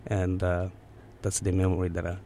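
A man's voice: low-pitched, completely flat delivery, normal speed, warm tone, a little hiss or hum. A man from Eastern Congo with a Central African accent, recounting personal memories as an interview guest.

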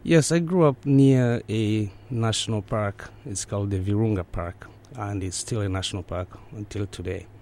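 A man's voice: lowish voice, moderate pace, few pauses, dark tone. A man from Eastern Congo with a Central African accent, recounting personal memories as an interview guest.

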